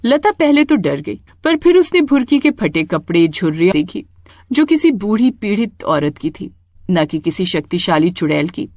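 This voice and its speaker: A woman's voice: medium pitch, sing-song, moderate pace, a little mellow, no echo, clean studio sound. A woman storyteller reading a scripted tale in a dramatic narrating style.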